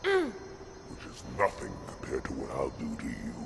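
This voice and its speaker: A man playing a menacing, zealous alien chieftain in a sci-fi game, with a gravelly, threatening, imperious voice. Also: very deep voice, huge pitch swings, a little slow, noisy background, heavily acted. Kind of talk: dramatic game cutscene acting. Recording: music behind the voice.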